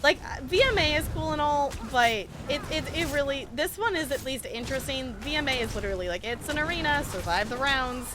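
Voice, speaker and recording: A woman live streaming a game, chatting casually with viewers in an expressive, playful way. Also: high voice, a little fast, hardly any pauses, background noise. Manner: expressive, playful exclamations and pleading.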